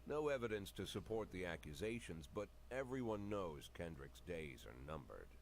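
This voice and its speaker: An actor playing a weary, cynical middle-aged police chief, narrating a noir-style video game story in a deadpan, brooding manner. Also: male voice, sits low, moderate pace, a little hiss or hum.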